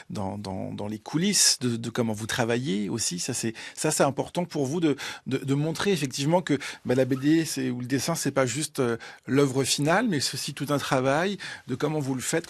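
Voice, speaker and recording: Male radio host, gravelly and husky, halting questions, dry studio. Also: mid-range voice, no room sound. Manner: halting, repeats words while forming questions.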